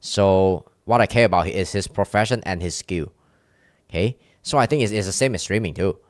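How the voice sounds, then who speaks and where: A man's voice: low-pitched, moderate pace, no room sound, clean studio sound. A man with a Chinese accent, a streamer chatting casually with his viewers, rambling and candid with many restarts. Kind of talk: casual live-stream chat with chat.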